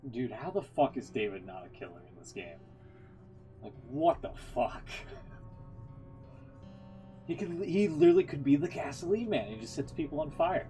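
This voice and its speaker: A man's voice: mid-range voice, sing-song, normal speed, long pauses, dark tone, faint music behind. A man streaming a game and giving casual, informal, sweary reaction commentary.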